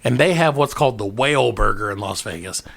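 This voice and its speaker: A man's voice: fairly low pitch, fast, vocal fry. A man with a Southern American accent co-hosting a comedy podcast, joking and rambling in casual banter. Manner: animated, joking, rambling with restarts. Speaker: podcast co-host.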